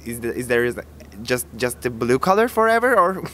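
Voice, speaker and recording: A man's voice: medium pitch, big pitch swings, a little fast, sharp tone. A man from Turkey with a Turkish accent, giving a casual review and often searching for words. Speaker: reviewer, co-presenter. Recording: faint background ambience noise.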